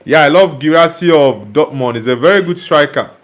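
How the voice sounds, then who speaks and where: A man's voice: on the high side, expressive, fairly quick. A young man from Nigeria hosting a live stream, casually reading viewer comments aloud and answering them bluntly. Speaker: livestream host reading chat.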